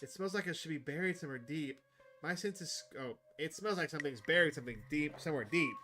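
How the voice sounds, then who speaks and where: A man voicing a fastidious, wary talking pet dog in a horror game, with a prim and disgusted manner. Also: fairly high pitch, pushed and bright, faint music behind. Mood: appalled.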